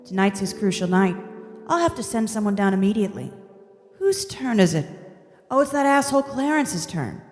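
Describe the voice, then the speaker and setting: A woman playing a casual, wry, deadpan God narrating a comedic radio play. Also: mid-range voice, normal speed, in a large hall, faint music behind, close to an everyday voice. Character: blasé, crude, wry God in heaven.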